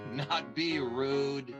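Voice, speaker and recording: An actor plays a cheerful newlywed vaudeville pal in an improvised radio comedy. The delivery is unhurried and folksy, always encouraging, with instrumental music playing behind it. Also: mid-range voice, muffled recording. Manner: folksy, encouraging buddy talk.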